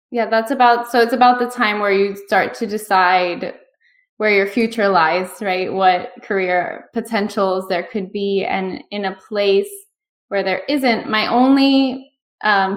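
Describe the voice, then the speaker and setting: A woman in her thirties hosting a podcast, asking questions in a chatty way and thinking aloud with fillers. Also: mid-range voice. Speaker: podcast host. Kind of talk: conversational interview.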